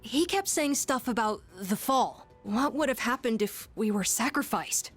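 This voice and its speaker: A woman's voice: fairly high pitch, expressive, unhurried, soft background music. A woman playing a sober, observant young student in an anime-style game dub. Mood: somber, puzzled.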